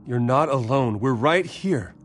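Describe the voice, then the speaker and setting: A man's voice: medium pitch, expressive, unhurried, natural voice. An actor playing a composed, guarded American businessman who is protective of his friends, in a video game drama. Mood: urgent, soothing. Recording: music behind the voice. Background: music.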